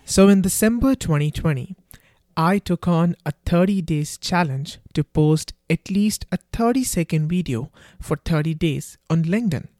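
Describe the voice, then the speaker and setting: A man's voice: a little high, moderate pace, a little mellow, no echo, studio quality. A young man with an Indian accent, hosting a podcast and reading a scripted intro in a wry, conversational way. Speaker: podcast host.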